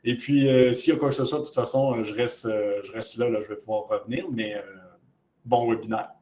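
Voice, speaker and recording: A man hosts an online webinar, introducing the speaker and explaining how the session works. His pitch sits in the middle range, and his delivery is casual and loose, with frequent fillers. He sounds like he is on a computer mic over a video call. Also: fairly even intonation, brisk, dark tone. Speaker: webinar moderator. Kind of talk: introducing speakers and giving housekeeping notes.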